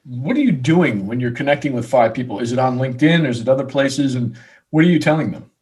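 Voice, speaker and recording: A man's voice: medium pitch, quick pace, darkish tone, husky. A man hosting a podcast interview, asking long questions that ramble and restart. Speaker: podcast host. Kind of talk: casual interview.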